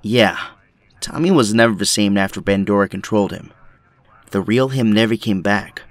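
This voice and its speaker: A fairly low male voice, recorded dry, for a determined resistance strategist acted by a man. He sounds serious and pointed, an embittered audio drama rebel. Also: normal speed, dry recording, natural voice. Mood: somber.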